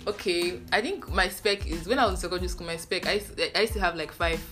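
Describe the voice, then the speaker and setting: A woman's voice: on the low side, brisk, a bit bright, faint music behind. A young woman co-hosting a casual chat, teasing and giggling. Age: young adult.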